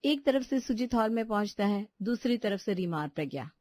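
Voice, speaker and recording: A woman's voice: medium pitch, animated, brisk, a little mellow, no echo, studio quality. A woman reading scripted narration for a domestic family story as the storyteller.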